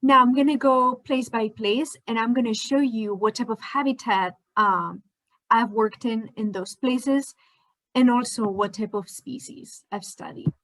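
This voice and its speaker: A woman's voice: a little high, a little slow, fairly bright tone, low-quality recording. A woman in her twenties whose first language is Spanish, narrating an educational slideshow as a PhD student, in a friendly manner with frequent fillers. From Spain.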